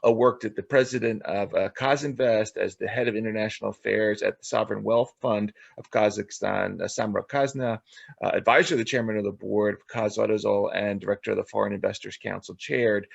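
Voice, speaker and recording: A man hosting an interview show, speaking in a halting way with stumbles and repeated words. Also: fairly low pitch, steady tone, vocal fry, husky, dry recording, muffled recording. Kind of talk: podcast interview introduction, reading a guest biography.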